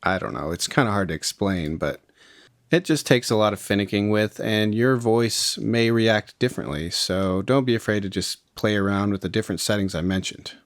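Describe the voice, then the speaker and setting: A man narrating a casual, step-by-step music software tutorial in an informal, explanatory way. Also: lowish voice, normal speed, dry recording. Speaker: music production tutorial host.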